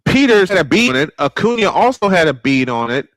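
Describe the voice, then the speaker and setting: A man calling live baseball play-by-play, excitable and prone to repeating his points. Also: fairly high pitch, no echo, low-quality recording. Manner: excitable, repeats points, quick calls of action. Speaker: play-by-play announcer. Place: home sports studio.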